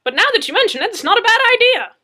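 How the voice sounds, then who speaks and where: A woman voicing a sarcastic, teasing man in an audiobook, with a loud mocking lilt. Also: very high voice, very animated, very fast, voice pushed up, exaggerated voice. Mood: sarcastic, amused. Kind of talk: dramatic audiobook character reading.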